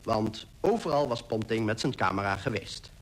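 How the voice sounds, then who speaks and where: An actor playing an admiring expedition member, recounting polar sights in a radio play. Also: male voice, lowish voice, expressive, moderate pace. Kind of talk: scripted radio drama narration.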